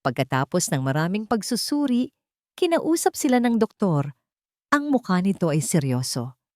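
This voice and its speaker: A woman's voice: medium pitch, very animated, normal speed, darkish tone, no room sound, studio quality. A woman storyteller giving scripted, dramatic narration of a romantic radio drama.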